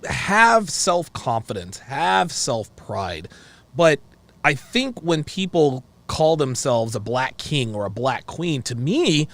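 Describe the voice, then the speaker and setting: A man's voice: medium pitch, sing-song, slow, creaky, fairly bright tone. An American man hosting a live call-in discussion, giving his opinions emphatically and repeating phrases as he goes. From United States. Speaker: talk show host.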